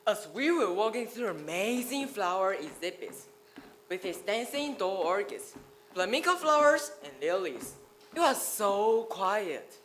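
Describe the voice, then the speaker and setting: A woman's voice: medium pitch, very animated, a little slow, fairly bright tone, a little hiss or hum, low-quality recording. A female performer telling a story theatrically in a readers theater style. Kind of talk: dramatic readers theater narration.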